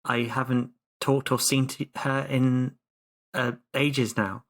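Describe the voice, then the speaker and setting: A man's voice: medium pitch, steady tone, slow pace. A man playing a tabletop game, rambling and flustered as his character makes excuses. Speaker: player. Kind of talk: casual tabletop play in character.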